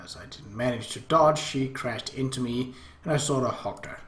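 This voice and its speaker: A man's voice: mid-range voice, normal speed. A man hosting a let's play, expressively reading a visual novel aloud with his own asides. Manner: expressive, animated. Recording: roomy echo.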